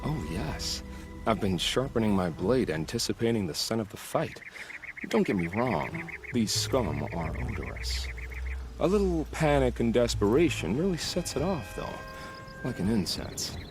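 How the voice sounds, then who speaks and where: Sly, swooping, bloodthirsty hunter. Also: male voice, lowish voice, very animated, a little slow, over music. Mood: gleeful menace. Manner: theatrical, savoring his words. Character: sly, bloodthirsty male bandit hunter who relishes killing. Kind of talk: video game dialogue acting.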